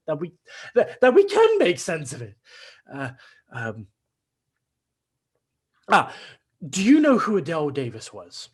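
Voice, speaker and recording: A man playing a disillusioned, brilliant son in a dramatic play reading, halting and sardonic. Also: sits high. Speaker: stage actor in a play reading. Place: video call, remote staged reading. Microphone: video call microphone.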